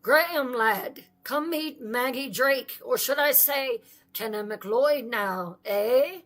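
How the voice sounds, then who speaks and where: A woman voicing a hearty older uncle who booms and teases. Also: slow pace, a bit bright. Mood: jovial, teasing. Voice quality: booming, hearty. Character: booming jovial older Scottish uncle with pipe.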